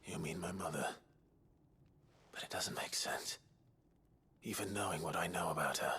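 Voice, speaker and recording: An actor playing a grim, resolute young swordsman who speaks low and quietly, often near a whisper. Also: moderate pace, husky, full character voice. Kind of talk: video game cutscene acting.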